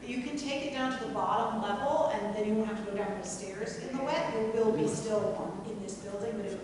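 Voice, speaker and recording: A woman's voice: fairly quick, a crowd in the background. A woman moderator making informal closing remarks at a theatre talkback. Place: large echoey hall at an arts centre. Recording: very echoey hall, distant mic, loud rumbling noise.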